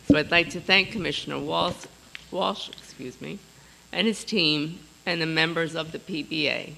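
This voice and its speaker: A council member reads prepared remarks in a formal, measured way. His voice is somewhat high, very bright and edgy, with a gravelly fry. A faint hiss sits under the recording. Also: poor audio.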